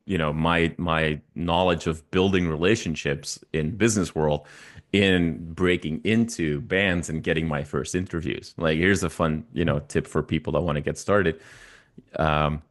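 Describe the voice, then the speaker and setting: A man in his forties from Belgium, a native Dutch speaker with a Belgian Dutch accent, talking casually in an interview in a halting, self-correcting way. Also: rumbling low, fairly even intonation. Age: 40s. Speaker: interview guest, music YouTuber.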